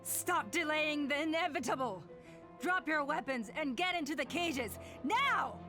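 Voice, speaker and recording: A calculating female villain from a video game, speaks with measured condescension and an air of command. Her voice sits a little high, with music playing behind it. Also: lively intonation, sharp tone, exaggerated voice. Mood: impatient, commanding. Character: calculating woman clan faction leader, coolly menacing villain. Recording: game dialogue over background music.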